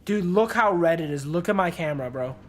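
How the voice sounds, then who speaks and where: A man's voice: a little high, lively intonation, brisk. A man streaming a game with casual, chatty, sweary commentary full of slang. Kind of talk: casual livestream commentary and reading game text aloud. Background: music behind the voice.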